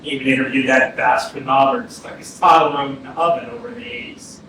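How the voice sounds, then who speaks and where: An actor playing a blunt, seasoned police detective in a staged reading, speaking sternly and deliberately with some swearing. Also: male voice, medium pitch, fairly even intonation, close to an everyday voice. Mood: stern, threatening. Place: indoor arts centre stage, staged reading. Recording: echoey theatre from far off, noisy.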